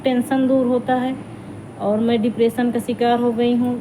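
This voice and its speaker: A middle-aged woman, a folk singer on a video channel, talking quickly and chattily to her viewers, thanking them and sending them her blessings. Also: a little high, level tone, noisy background. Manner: rapid, chatty, warmly addressing fans. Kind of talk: casual talk to viewers.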